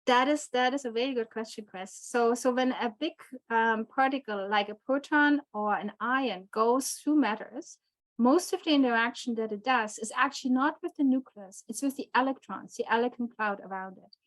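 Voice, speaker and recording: A woman's voice: fairly high pitch, normal speed, dry recording, rough recording. A woman from Germany with a German accent, giving an online physics lecture with frequent fillers and restarts. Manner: hesitant, many fillers and restarts.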